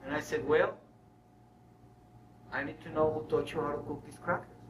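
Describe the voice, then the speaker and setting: A man from Venezuela giving a spiritual talk to an audience, telling personal stories in an animated way and acting out bits of dialogue. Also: on the high side, normal speed, highs cut off. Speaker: guest lecturer, spiritual speaker. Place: room with an audience. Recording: roomy, distant mic, faint noise.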